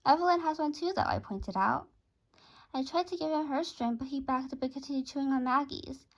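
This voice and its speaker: High voiced woman doing a story read aloud, trips on words sometimes and rereads them. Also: brisk, highs cut off. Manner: stumbles and rereads phrases. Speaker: author reading own story aloud.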